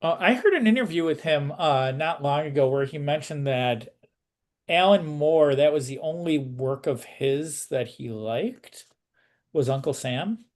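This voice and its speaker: A man with a fairly high-pitched voice conducts a casual interview. He talks informally and a bit tentatively, working out his phrasing as he goes. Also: a little slow.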